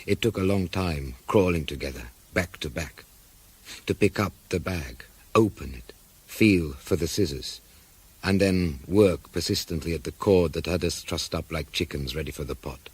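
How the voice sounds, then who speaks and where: A man playing a policeman hero who narrates his escape in a measured, husky storytelling voice for an audio drama. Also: low voice. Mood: measured, grave. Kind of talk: scripted first-person dramatic narration.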